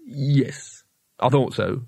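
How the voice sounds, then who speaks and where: An anxious, cynical wizard voiced by a male storybook reader talks in a medium-pitched voice. He is hesitant and overly polite, with a sardonic undertone and a dry, close sound. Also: lively intonation, moderate pace, dry recording, close to an everyday voice. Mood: bitter, uneasy.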